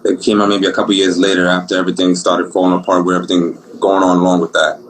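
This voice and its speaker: A young man with a Brooklyn accent, an R&B singer talking about his life in a relaxed, rambling, slangy way. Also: deep voice, fast. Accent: Brooklyn New York. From Brooklyn, New York. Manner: laid-back, rambling, slangy. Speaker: singer guest. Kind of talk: casual interview talk.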